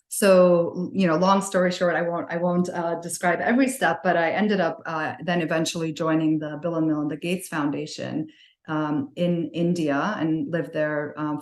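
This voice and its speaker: A middle-aged woman with a low voice speaks in a thoughtful, conversational way, often pausing on fillers and restarting her sentences. Her voice is recorded in a roomy, echoey space. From Libya. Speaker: podcast guest. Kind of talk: conversational interview answers. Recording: roomy echo.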